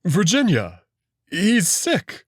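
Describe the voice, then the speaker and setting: Man as urgent, husky protective wolf prince. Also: high-pitched, wildly swooping pitch, slow pace, dry recording, studio quality, full character voice. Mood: worried, urgent. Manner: urgent, earnest. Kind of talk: visual novel voice acting.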